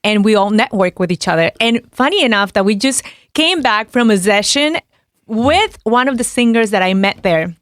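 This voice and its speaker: A woman's voice: medium pitch, expressive, moderate pace, a bit bright, no echo. Woman, podcast guest, bubbly, laughs easily, studio mic, dry. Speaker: guest, DJ and music producer. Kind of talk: casual interview chat.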